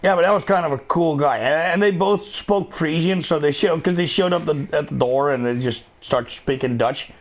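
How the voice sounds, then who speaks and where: A man's voice: fairly high pitch, moderate pace, hardly any pauses. A middle-aged man chatting casually while gaming, profane and rambling, laughing often. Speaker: streamer.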